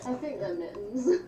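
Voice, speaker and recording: High-voiced woman in an echoey room. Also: warm tone. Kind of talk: casual banter during an online drawing game. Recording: echoey, distant, noisy livestream mic.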